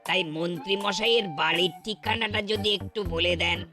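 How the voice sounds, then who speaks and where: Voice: mid-range voice, brisk, sharp tone, faint music behind, lightly acted. An actor voicing an insistent visiting tradesman in a Bengali cartoon. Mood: polite. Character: insistent out-of-town tradesman demanding his money.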